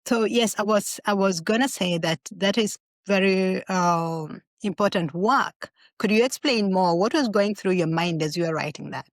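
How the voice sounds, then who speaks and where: A woman's voice: mid-range voice, normal speed, hardly any pauses, no echo. A woman journalist who interviews her guest, asking polite and encouraging questions and offering short acknowledgments.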